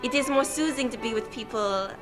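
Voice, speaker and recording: A woman's voice: fairly high pitch, a little fast, close to an everyday voice. A woman playing a charming, worldly French society hostess in a radio drama, coquettish and playful. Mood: flirtatious. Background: music.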